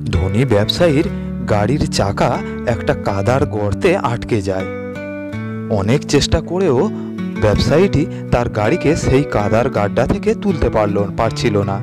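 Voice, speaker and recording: A man narrating a scripted moral tale as a storyteller for an animated cartoon. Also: medium pitch, normal speed. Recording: loud music under narration.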